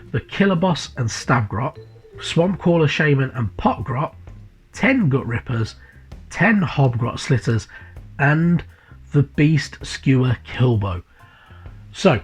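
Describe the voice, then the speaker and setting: Guy with a cold, sniffly and a bit husky, rambly chat, soft music behind. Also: mid-range voice, muffled recording. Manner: rambling, repeats words, many fillers. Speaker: hobby channel host. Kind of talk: casual magazine review. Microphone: voice-over above tabletop camera.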